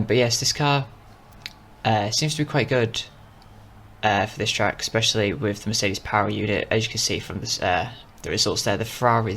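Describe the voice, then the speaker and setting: Croaky-voiced man narrating racing gameplay. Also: lowish voice. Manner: rambling, full of fillers and self-corrections. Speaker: gaming video host. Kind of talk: casual gameplay commentary. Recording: voice over loud game music.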